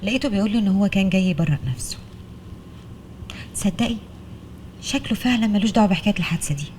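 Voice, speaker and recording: Actress as curious Egyptian woman confiding, musing, soft dark tone, very fast talk, TV drama. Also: medium pitch, a little hiss or hum, close to an everyday voice. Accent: Egyptian Arabic. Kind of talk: scripted TV drama dialogue.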